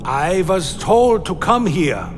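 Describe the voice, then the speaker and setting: A man playing an anxious vampire who recounts his own dark tale in a dramatic radio play. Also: high-pitched, sing-song, takes it slowly, soft background music, full character voice. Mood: anxious, insistent. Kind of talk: first-person dramatic narration, radio play.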